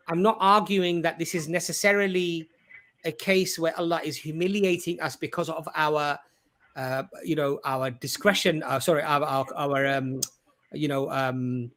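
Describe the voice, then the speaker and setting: A man's voice: sits high, a bit bright. A man hosting a religious discussion, answering a caller earnestly and explaining at length, with frequent "uh" and "you know". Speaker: host and Islamic speaker.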